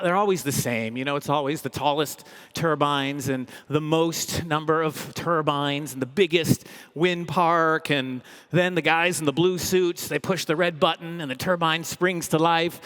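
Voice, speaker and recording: A man hosting a live event as a comedian, speaking in an upbeat, energetic, joking way with playful mock boasts. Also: fairly high pitch, clear, resonant tone. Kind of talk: humorous live stage presentation. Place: large indoor event hall, live audience. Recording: echoey big hall, long reverb.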